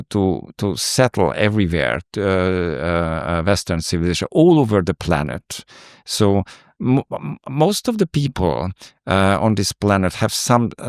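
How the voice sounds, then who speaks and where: Low-voiced Hungarian man, halting. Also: clear, resonant tone, no room sound, studio quality. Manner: halting, with many fillers. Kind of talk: panel discussion.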